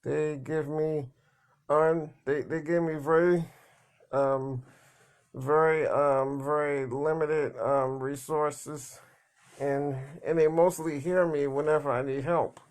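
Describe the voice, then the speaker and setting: A man talking in a casual, rambling monologue, halting and repeating words, blunt and plain-spoken. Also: a little high, steady tone. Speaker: vlogger.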